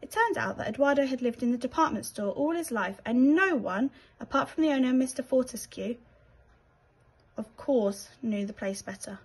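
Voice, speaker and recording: Slightly husky British woman reading a story. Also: fairly high pitch, lively intonation, moderate pace, low-quality recording. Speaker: teacher reading to children. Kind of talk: expressive children's storybook narration.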